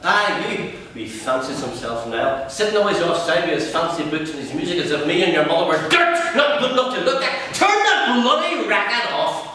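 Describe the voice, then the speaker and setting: A man's voice: fairly high pitch, very animated, a little fast, few pauses, sharp tone, pitched above the performer's own voice. A man doing a raging father. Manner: harsh, bullying barks. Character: bitter, raging working-class father. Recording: very echoey room, distant mic, faint hum.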